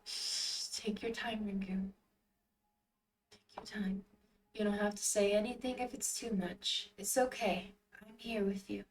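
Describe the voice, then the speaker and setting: A woman voicing a calm, patient young man in a story, softly reassuring his best friend. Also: medium pitch, normal speed, recorded from a distance, close to an everyday voice, rough recording. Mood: tender, soothing. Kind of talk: acted story dialogue.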